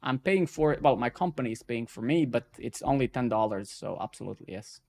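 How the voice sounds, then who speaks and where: A man's voice: medium pitch, animated, fairly quick, vocal fry, darkish tone. A man originally from Serbia hosting a casual live-coding stream, talking loosely, swearing when frustrated and tagging lines with "right?" and "man". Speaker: stream host.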